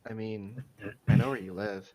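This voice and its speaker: A man's voice: on the low side, moderate pace, mellow. A man co-hosting a podcast, making short remarks in casual banter. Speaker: podcast co-host.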